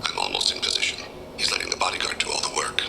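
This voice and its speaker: An actor playing a calm, solemn alien assassin for a video game, speaking in a hushed, terse, measured way with a gravelly, breathy near-whisper. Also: male voice, loud music behind the voice, close to an everyday voice. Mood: focused, hushed.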